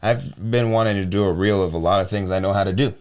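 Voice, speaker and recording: A man with a deep voice co-hosting a podcast in casual chat, often restarting his sentences. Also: fast, darkish tone, clean tone. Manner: restarts and trails off often, conversational. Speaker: podcast co-host and freelance voiceover artist.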